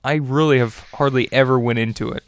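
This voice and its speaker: A man's voice: mid-range voice, fairly even intonation, a little fast, smooth, dry recording, poor audio. A young man with a stuffy, congested voice, chatting casually as he narrates his own gameplay video. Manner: chatty, upbeat, with little laughs and fillers. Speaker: gaming video host. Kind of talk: casual let's-play commentary. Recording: faint music bed behind voice.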